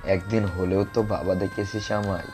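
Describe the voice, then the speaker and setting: A man's voice: deep voice, flat delivery, normal speed, lightly acted. A man playing an anguished older foster father in an audio drama, pleading in pain. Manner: pleading, pained. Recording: music clearly under the voice.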